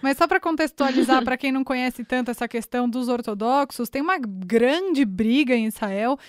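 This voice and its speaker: A woman's voice: sits high, normal speed, no echo, clean studio sound. A woman hosting a podcast in a friendly way, giving context and asking her guests questions. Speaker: podcast host.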